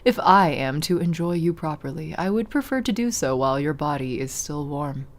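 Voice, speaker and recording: A woman voicing a cold, haughty female vampire noblewoman who speaks slowly and with arch formality. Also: sits low, animated, pitched below the performer's own voice. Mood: silky. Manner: slow, purring, archly formal.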